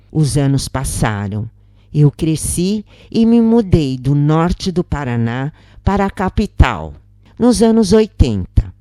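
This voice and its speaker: Slow, low-voiced Brazilian woman narrating. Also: warm tone, no echo, studio quality. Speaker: narrator of spiritist stories. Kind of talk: scripted story narration.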